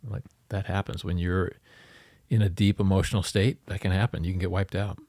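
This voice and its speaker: A man's voice: deep voice, flat delivery, brisk, a little mellow, dry recording. A man chatting casually as a therapist and podcast co-host, plainspoken in his replies. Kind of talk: casual conversational chat.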